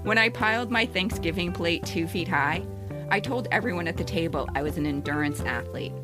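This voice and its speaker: A woman's voice: on the low side, lively intonation, fairly quick, over music. A woman in her fifties reading a scripted personal essay as a podcast co-host, with a candid, wry, self-deprecating manner. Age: 50s.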